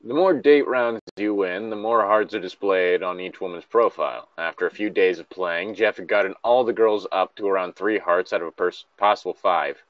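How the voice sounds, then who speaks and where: A man's voice: sits low, moderate pace, hardly any pauses, no echo, muffled recording. A man casually reading a story aloud and breaking off with exasperated, incredulous, sweary commentary.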